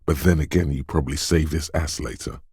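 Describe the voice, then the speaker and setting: Detective's inner instinct, video game narration, rumbling low male voice, measured, knowing, quick-witted, dramatic, dry studio sound. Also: steady tone, a little mellow, husky, no echo, heavily acted. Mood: tense, narrating. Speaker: voice actor. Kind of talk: second-person game narration.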